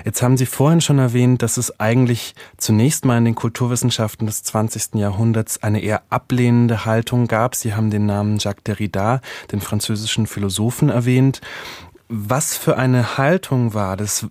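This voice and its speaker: A man acting as interviewer in an academic conversation. His mid-pitched voice sounds dry and close, and he methodically sums up and frames questions. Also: steady tone, normal speed, darkish tone, no echo. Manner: methodical, recaps and structures the discussion.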